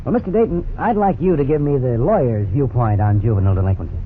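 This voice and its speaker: An actor playing a polite, inquisitive young newspaper reporter in a 1950s radio drama. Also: male voice, medium pitch, expressive, fast, lightly acted. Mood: curious, polite. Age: young adult. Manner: deferential, questioning. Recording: scratchy, tinny 1950s radio recording.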